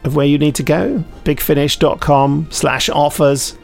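A man's voice: a little high, steady tone, a little slow, vocal fry, soft background music. A British man hosting a podcast, chatting casually with rambling, self-mocking, dryly jokey anecdotes. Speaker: podcast host and audio drama maker.

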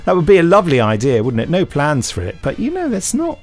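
A man's voice: on the high side, huge pitch swings, quick pace, faint music behind. A man hosting a podcast, reading out listener emails with jokey asides. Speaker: podcast host.

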